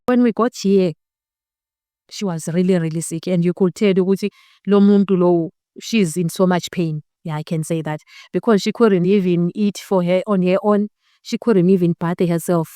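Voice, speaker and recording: A young woman in her twenties gives a personal testimony in a Zimbabwean accent, with Ndebele as her first language. Her voice is smooth and a little low, in a dry, close sound. She speaks earnestly and slips between two languages. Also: fairly even intonation, normal speed, warm tone, no room sound. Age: 20s. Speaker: interview guest. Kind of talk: personal testimony in a documentary-style interview.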